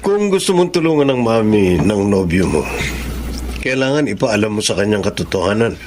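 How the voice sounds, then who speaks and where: A man playing a calm doctor giving measured advice in a radio drama. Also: lowish voice, a little hiss or hum. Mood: advising. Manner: measured, advising.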